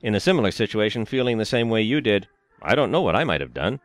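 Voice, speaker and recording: An actor playing an elderly husband who is affectionate and teasing in an audio drama. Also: male voice, lowish voice, sing-song, quick pace, dry recording, faint music behind. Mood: concerned. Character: elderly husband, warm and joking.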